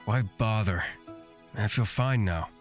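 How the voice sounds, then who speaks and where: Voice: medium pitch, unhurried, music in the background, close to an everyday voice. A performer voicing a grumpy, sarcastic young man in a fantasy visual novel.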